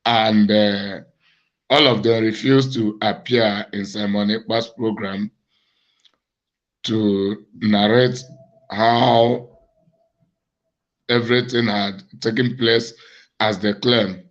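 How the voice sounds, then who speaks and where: A man, an evangelist hosting his own show, speaking slowly and deliberately to viewers in a hoarse, cracked voice from a cough. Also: fairly low pitch, fairly even intonation. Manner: slow, deliberate, accusatory. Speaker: evangelist and host. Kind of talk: monologue address to viewers. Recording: dull lo-fi recording.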